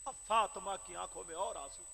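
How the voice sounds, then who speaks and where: A man preaching a devotional sermon, telling the story with impassioned shouting and chanted, sing-song phrases. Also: high voice, creaky. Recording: reverberant hall with faint crowd.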